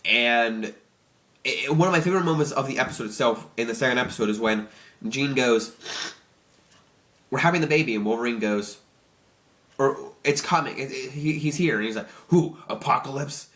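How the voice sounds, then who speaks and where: Stuffy-nosed young man rambling excitedly. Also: medium pitch. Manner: rambling, excitable, many restarts. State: stuffy nose from allergies. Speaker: YouTube reviewer. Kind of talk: casual spoiler review.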